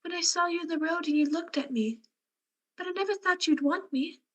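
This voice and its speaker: Radio drama role for a woman: timid young country sweetheart, very high and bright, devoted and superstitious. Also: a little fast, dull audio. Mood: shy, adoring. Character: shy, adoring young country girl in love, superstitious. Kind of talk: radio play acting.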